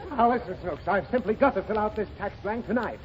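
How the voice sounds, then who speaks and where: A man's voice: sits high, lively intonation, fast talker, a loud crowd. A flustered, exasperated father. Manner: flustered, theatrically exasperated, sputtering. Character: exasperated father doing his taxes. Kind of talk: 1930s radio comedy acting. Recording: dull 1930s radio broadcast with hiss and studio audience.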